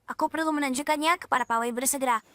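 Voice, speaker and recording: Wanita sebagai makhluk bulan kecil bersuara sangat tinggi. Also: female voice, sits high, steady tone, rapid-fire, very noisy, lightly acted. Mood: curious. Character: friendly, helpful, childlike furry moon creature. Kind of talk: children's cartoon dubbing.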